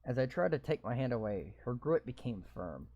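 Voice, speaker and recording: A man's voice: medium pitch, sing-song, normal speed, dark tone, dry recording, muffled recording. A man reading a visual novel aloud, adding casual asides and stumbling over words. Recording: game music playing under voice.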